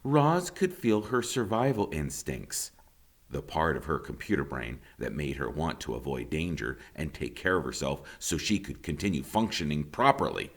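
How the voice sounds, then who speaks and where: A man narrating a children's story aloud like a teacher, with expressive, deliberate dramatic emphasis. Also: low voice.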